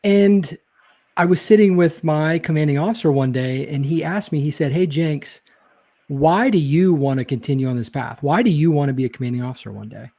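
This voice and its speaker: Man, interview guest, reflective storyteller, soft warm voice, hedges a lot. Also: a little high, brisk. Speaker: guest, leadership speaker. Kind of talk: casual interview chat.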